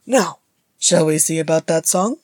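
A woman acts out a gruff dwarf warrior from a fantasy storybook. He is a doting, teasing uncle who likes mock solemnity. The voice is husky and close, with a dry sound. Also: low-pitched, measured pace, darkish tone, no room sound. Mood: playful, doting.

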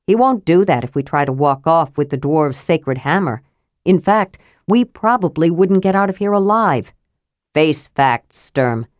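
A woman's voice: sits low, animated, unhurried, no room sound, clean studio sound. A woman narrator voicing a cautious, practical male half-elf leader in a fantasy audiobook, weary and reasonable. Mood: firm reasoning.

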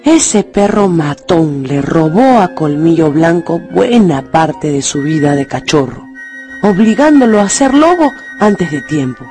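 Mellow, expressive woman storyteller. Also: deep voice, a little slow, faint music behind. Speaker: narrator. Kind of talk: dramatized audiobook storytelling.

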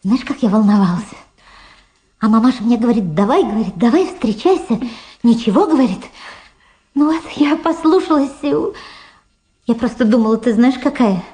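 Radio drama: vulgar, effusive middle-aged showgirl played by a woman, high-voiced and very animated, rambling and repeating herself. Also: normal speed, pauses often, natural voice. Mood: gushing, excited. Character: gushing, vulgar, faded American showgirl reunited with her daughter. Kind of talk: Soviet radio drama acting.

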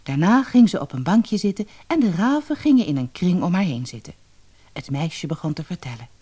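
Animated woman storyteller with music. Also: on the low side, a little fast, husky. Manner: expressive, dramatized reading. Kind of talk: scripted fairy-tale narration for children.

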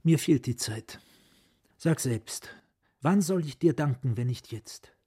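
An actor playing a suave psychiatrist placating his brother in a radio drama, husky and ingratiating. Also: medium pitch, lively intonation, slow, warm tone. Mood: affectionate, reproachful. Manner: smooth-talking, ingratiating. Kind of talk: German radio drama acting.

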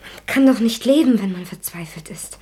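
A gentle, devout young woman, husky and hushed, pleading. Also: mid-range voice, expressive, moderate pace.

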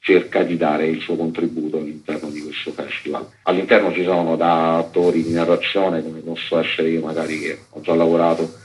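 A man's voice: rumbling low, monotone, a little fast, hardly any pauses, low-quality recording. A man who works as an actor, speaking off the cuff in a radio interview with rambling, improvised phrasing. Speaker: actor and author, radio guest. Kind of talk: unscripted interview talk.

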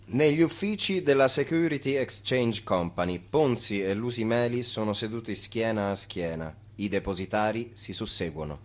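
An actor playing a wry, didactic narrator in an Italian radio play, addressing the listener directly like an instructor. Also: male voice, lowish voice, normal speed, hardly any pauses, lightly acted. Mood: wry, instructive. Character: wry, instructive male storyteller of a swindle.

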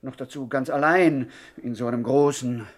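Ein Schauspieler spielt einen ängstlichen, abergläubischen kleinen Gangster in einer Krimikomödie im Radio, nervös, zögernd und unterwürfig. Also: male voice, medium pitch, normal speed, natural voice. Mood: flustered. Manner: nervous, hesitant, obsequious, whispering at times. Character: timid, fearful little male gangster, anxious and superstitious. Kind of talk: 1960s German radio crime comedy acting. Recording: faintly noisy 1960s radio recording.

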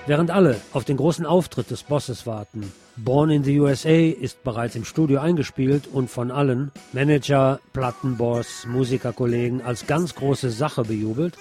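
A man's voice: medium pitch, normal speed, few pauses, dark tone, soft background music. A man presenting a scripted film review as narration.

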